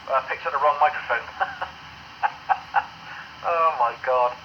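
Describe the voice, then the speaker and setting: A man's voice: a little high, takes it slowly, highs cut off. A man in his fifties chatting casually as an amateur radio operator, often repeating himself, heard over a radio link. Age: 50s. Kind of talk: casual two-way radio chat. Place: home radio shack. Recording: noisy, band-limited ham radio transmission.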